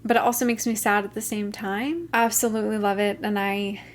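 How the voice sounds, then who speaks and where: A woman in her twenties hosting a casual vlog, talking enthusiastically about music she loves, with her voice slightly hoarse from talking a long time. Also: medium pitch, steady tone, fairly quick, slight background noise. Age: 20s. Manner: gushing, enthusiastic. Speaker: channel host.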